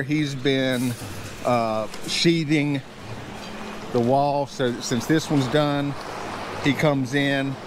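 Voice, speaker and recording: A man with a medium-pitched voice talks casually to a handheld camera on an outdoor building site. He explains things like a builder showing people around, easygoing and a little hesitant. Faint outdoor site noise sits behind his voice. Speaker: builder hosting a build vlog. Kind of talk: casual walkthrough narration to camera.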